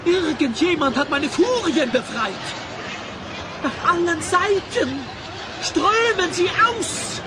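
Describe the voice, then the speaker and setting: A man's voice: extremely high pitch, animated, takes it slowly, over a noisy crowd, heavy background noise, full character voice. An actor playing a megalomaniac sorcerer in an audio drama, pompous and theatrical, drawing out his cries. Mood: raging despair. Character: raving megalomaniac sorcerer, male master of time. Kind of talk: German audio drama acting. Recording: dramatic music behind the voice.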